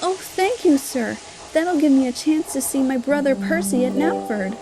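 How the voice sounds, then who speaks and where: A woman's voice: sits high, lively intonation, moderate pace. A woman voicing a sweet, earnest female tank engine in an audio drama, speaking politely. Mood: grateful. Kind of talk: audio drama character reading. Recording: music behind the voice.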